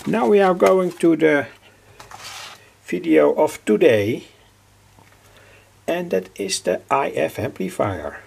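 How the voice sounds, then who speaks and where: An older man giving an informal technical explanation as a hobbyist presenter, halting and repeating his phrases. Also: on the high side, animated, a little mellow. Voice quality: gravelly, husky.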